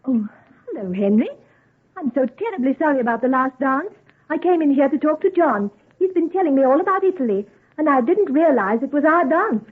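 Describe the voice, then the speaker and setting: An actress playing a proper, proud young society lady with a husky, breathy voice in an old-time radio drama. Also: sits high, expressive, a little fast. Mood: icily polite. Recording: tinny, narrow old radio recording.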